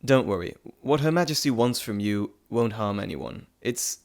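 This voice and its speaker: A man voicing a playful, fond male messenger god in an intimate audio roleplay, chatty and teasing with theatrical pauses. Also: fairly low pitch, expressive. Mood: calm, reassuring.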